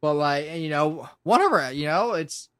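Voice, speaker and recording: A man's voice: on the high side, animated, moderate pace, fairly bright tone, no echo, clean studio sound. A man in his late twenties, a podcast host, chatting casually and rambling with lots of swearing and restarts. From Pennsylvania. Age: 20s.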